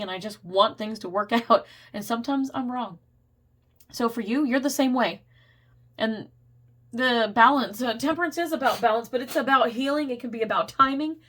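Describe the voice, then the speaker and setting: A woman's voice: normal speed, fairly bright tone. A woman giving a casual, rambling tarot reading, laughing often and losing her voice to a cold. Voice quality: getting squeaky. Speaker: tarot reader.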